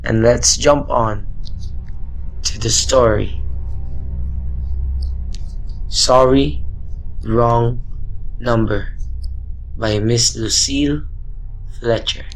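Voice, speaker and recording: A man hosting a suspense radio drama, reading scripted narration in a deliberate, dramatic way. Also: medium pitch, gravelly, soft background music, low-quality recording. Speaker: narrator and host.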